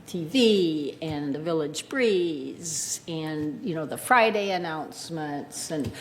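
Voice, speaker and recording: A woman answering off the cuff as a candidate at a public meeting, speaking haltingly and searching for words. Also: low voice, expressive, a little hiss or hum. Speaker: board candidate at a community forum. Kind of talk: impromptu spoken answer at a meeting.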